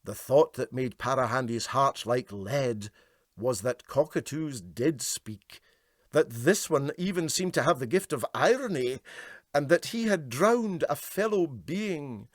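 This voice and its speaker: A man's voice: mid-range voice, animated, measured pace, no room sound, clean studio sound. A man with a Scottish accent reading a comic story aloud as narrator.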